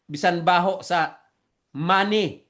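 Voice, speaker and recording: A man with a Filipino accent and a high, light voice for a man, teaching theology to an online audience. His first language is Visayan, and he works through plain English slowly and earnestly, often repeating himself. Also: fairly bright tone. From Philippines. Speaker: preacher and theologian.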